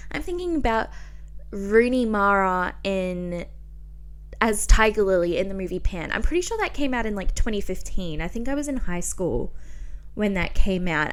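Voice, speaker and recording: A young woman with a clean, smooth voice talks as a podcast host. Her delivery is informal and chatty, full of slangy asides and filler "likes". She stresses her points expressively, as if talking to friends. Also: mid-range voice. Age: 20s. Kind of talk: casual solo podcast chat.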